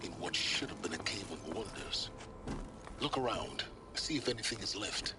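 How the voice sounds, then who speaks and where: An actor playing a calm, cryptic male schemer in a video game, speaking low and measured. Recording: game audio with background noise.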